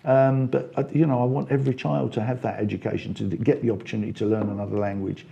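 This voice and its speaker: Gravelly, mellow-voiced older man. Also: lowish voice, level tone, fast talker, muffled recording, slight background noise. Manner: talkative, many restarts and fillers. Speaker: interviewee, party member. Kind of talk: casual conversational interview.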